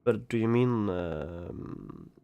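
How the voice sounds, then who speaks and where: A man livestreaming a video game, giving casual, halting commentary and replying to chat, with some noise behind him. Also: on the low side, clean tone, dull audio.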